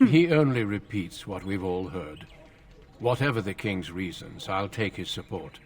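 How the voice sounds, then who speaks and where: A solemn warrior recruiter from a fantasy game. The voice is low, courteous and formal, with measured phrasing, heard a little away from the mic. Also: male voice, lively intonation, far from the mic.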